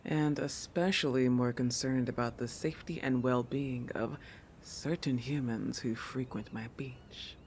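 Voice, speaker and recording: A woman plays a proud young shark girl who guards her human jealously in an intimate roleplay audio. Her delivery is slow and teasing, with a creaky, fry-laden edge and flustered self-corrections. A faint hiss and rumble sit behind the voice. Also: steady tone, darkish tone. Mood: coy, possessive. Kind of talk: roleplay audio monologue addressed to the listener.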